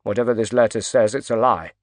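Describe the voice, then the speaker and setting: A man's voice: lowish voice, fast talker, dry recording, clean studio sound, close to an everyday voice. A man voicing a grieving older financier. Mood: indignant. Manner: choked grief turning to indignation. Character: older grieving male financier, heavy and proud.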